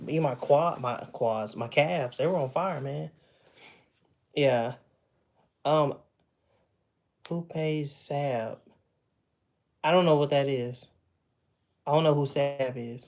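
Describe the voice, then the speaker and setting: A man's voice: on the high side, slow, pauses often. A man in his thirties with a slightly raised voice, chatting with his stream audience from home. He talks loosely, laughs easily and often restarts his sentences. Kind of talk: casual livestream chat. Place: at home with memorabilia behind him.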